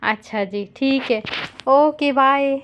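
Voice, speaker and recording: A woman chatting casually on a call, consoling and gently scolding as she tells a simple story in a conversational way. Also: high voice, slow pace, a little hiss or hum. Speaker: caller consoling an older sister figure. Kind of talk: casual phone chat with storytelling. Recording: dull lo-fi call recording.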